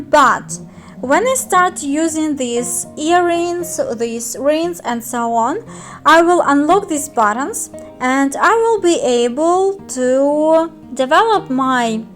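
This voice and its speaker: High-voiced Russian-accented woman explaining a game. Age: young adult. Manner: careful, hesitant, frequent fillers. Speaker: game guide youtuber. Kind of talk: screen-recorded game tutorial.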